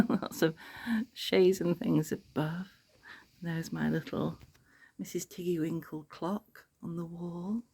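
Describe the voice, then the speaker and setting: A middle-aged English woman with hay fever, softly narrating a casual home tour, often half-whispering. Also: fairly low pitch, steady tone, slow pace, vocal fry, husky. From England. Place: cottage bedroom, indoors.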